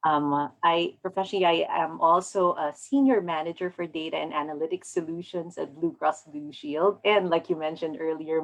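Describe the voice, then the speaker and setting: Earnest woman from the Philippines, lowish voice. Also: rough recording. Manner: earnest, thoughtful, with fillers. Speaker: panelist, organization president. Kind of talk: panel discussion talk.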